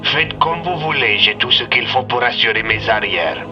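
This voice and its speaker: A man's voice: mid-range voice, lively intonation, moderate pace, over music, voice pushed up. A man voicing a smug crime boss in an audio drama, heard through a radio filter. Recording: muffled lo-fi radio transmission.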